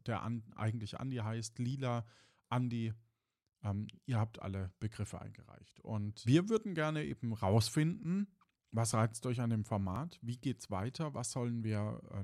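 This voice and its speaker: A man's voice: mid-range voice, dark tone, dry recording, studio quality. A man speaking informally and loosely as host and game master, chatting to podcast listeners. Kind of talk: casual chat to podcast listeners.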